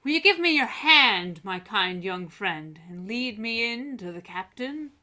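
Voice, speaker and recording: A woman's voice: mid-range voice, animated, pushed and bright. A woman playing a menacing old blind beggar sailor in a radio play reading, with a fawning manner that can turn threatening. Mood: wheedling. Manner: slow, fawning turning threatening.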